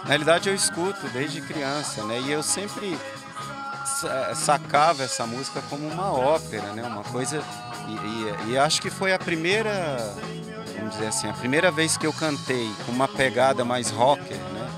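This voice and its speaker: A man's voice: mid-range voice, expressive, normal speed. A man from Brasília, a bandleader, answering interview questions in a reflective way, often thinking aloud. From Brasília, Brazil. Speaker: musician, bandleader. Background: band rehearsal music.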